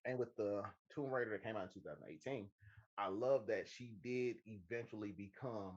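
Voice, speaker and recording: A man's voice: lowish voice, moderate pace, darkish tone. A man co-hosting a podcast in a casual, chatty style, recorded with dull, lo-fi sound. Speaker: podcast co-host. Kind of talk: casual chat.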